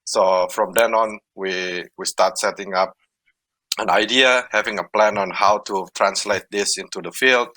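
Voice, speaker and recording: A man's voice: lowish voice, steady tone, unhurried, bright, forceful tone, dry recording, poor audio. A man from Indonesia, a startup co-founder recounting his work on a panel. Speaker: startup co-founder and former university researcher. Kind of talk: panel talk, telling how his company began.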